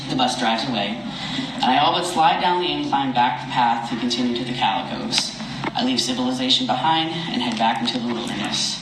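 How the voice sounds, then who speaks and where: A man reading a first-person comic story in a wry, expressive, self-deprecating way. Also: medium pitch. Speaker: reader of a story. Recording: echoey room with clear background noise.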